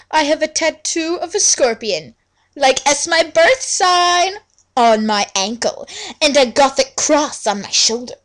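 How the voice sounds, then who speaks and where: A woman reads a story aloud as high melodrama. Her voice sits a little high and she takes her time, drawing out the text with exaggerated, mock-serious theatricality. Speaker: fanfiction reader. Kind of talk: theatrical dramatic reading of a story.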